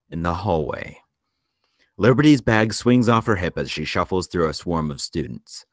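A man narrating a screenplay at a table read in a measured storytelling delivery. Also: low voice, dry recording, studio quality. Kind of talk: scripted narration of stage directions.

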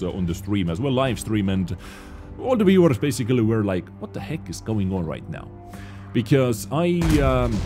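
Young male gameplay host, low mellow voice, non-native English, chatty and lively, faint music underneath. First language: not English. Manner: chatty, animated, often addresses viewers as ladies and gentlemen. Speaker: gaming video host. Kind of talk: gameplay commentary.

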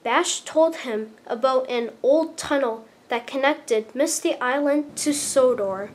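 Slow, high-voiced woman reading a storybook. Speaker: storybook reader. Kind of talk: expressive read-aloud children's narration. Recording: clear background noise with low rumble.

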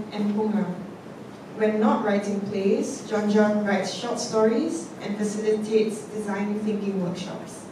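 A woman emcee reading out panel speaker bios on a theatre stage, measured and steady from her notes with the odd um. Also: fairly high pitch. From Singapore. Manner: measured, reads from notes with occasional ums. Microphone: handheld mic, heard from a distance. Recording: echoey hall, voice from far off, noisy.

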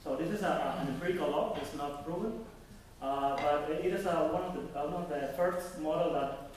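A young man giving an academic conference presentation, halting and searching for words, often restarting his sentences. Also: fairly high pitch. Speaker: conference speaker. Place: conference hall. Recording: echoey hall from far off with faint noise.

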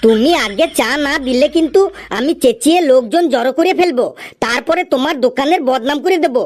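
Performed cartoon comic customer, high-pitched, wheedling, cheeky, teasing, mock-earnest delivery. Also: brisk, a little mellow, close to an everyday voice. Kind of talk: cartoon comedy dialogue.

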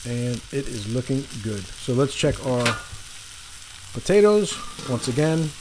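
A man's voice: medium pitch, unhurried, background noise. A man hosting a casual cooking video outdoors by a lake, talking loosely while he cooks, correcting himself and chuckling at small mistakes. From Florida. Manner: relaxed, rambling, self-correcting, chuckles at mistakes. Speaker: cooking channel host. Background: sizzling food on a griddle.

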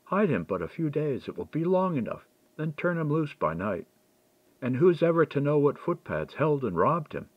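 A man voicing a scheming medieval noblewoman in an audiobook, smooth, coaxing and persuasive. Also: fairly high pitch, big pitch swings, normal speed, dry recording, dull audio, pitched above the performer's own voice. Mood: conspiratorial, reassuring.

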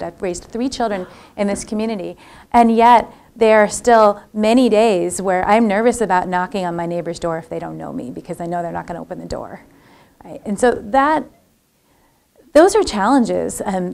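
A woman panelist with a slightly gravelly, creaky voice speaks in a lecture room. She sounds reflective and personal, thinking aloud as she talks. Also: mid-range voice, a little mellow. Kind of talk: panel discussion.

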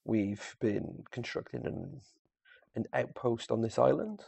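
A man's voice: mid-range voice, big pitch swings, measured pace, vocal fry, a little mellow, husky. A man giving a casual, unscripted video game tutorial, informal and a little hesitant as he thinks aloud. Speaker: gamer presenting a video walkthrough.

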